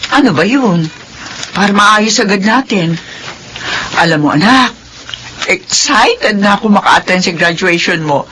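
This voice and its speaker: A woman's voice: medium pitch, lively intonation, unhurried, pauses often, fairly bright tone, a little hiss or hum. A woman voicing a weary, self-sacrificing mother in a classic radio drama, talking emotionally and with many exclamations. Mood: tender. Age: middle-aged. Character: worn-out self-sacrificing mother of three grown children. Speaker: voice actress.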